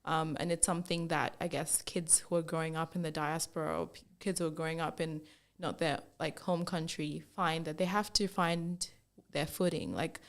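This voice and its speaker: A woman's voice: deep voice, normal speed. A young woman from Zambia, a fashion brand founder, telling her story in a casual interview with lots of "like"s and acted-out quotes. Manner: animated storyteller, acts out quoted remarks, many "like"s.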